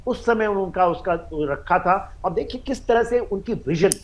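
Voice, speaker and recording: An Indian man giving expert commentary on a news panel, halting and repeating himself, mixing in English phrases. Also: high voice, expressive, dull audio, slight background noise. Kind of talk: live news panel analysis.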